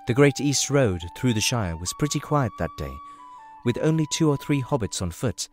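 A man narrating a scripted fantasy story as the narrator of an audio drama. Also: mid-range voice.

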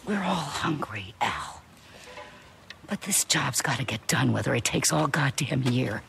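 A male actor playing a hard-driving, short-tempered camp leader in a video game, commanding and impatient, with a gravelly creak. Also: mid-range voice, sing-song, measured pace, fairly bright tone, a little hiss or hum, heavily acted. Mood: angry, impatient. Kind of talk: video game cutscene acting.

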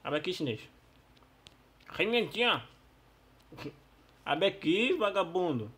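A Brazilian man who is losing his voice, giving casual, slangy, excitable gameplay commentary. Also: a little high, slightly rough. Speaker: gaming YouTuber.

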